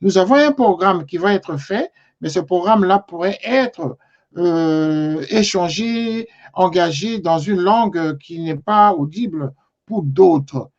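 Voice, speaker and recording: A man hosting a broadcast talk show, his voice sitting a little high. He speaks politely and carefully, pausing often on fillers. The TV sound is dull and lo-fi. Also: darkish tone. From Congo. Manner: polite, measured, many hesitations. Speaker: TV show host.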